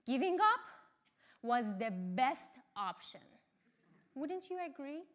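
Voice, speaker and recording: A woman, a Farsi speaker, gives a motivational talk. She speaks slowly and earnestly, her voice set a little high. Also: big pitch swings, fairly bright tone. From Iran. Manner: earnest, measured delivery.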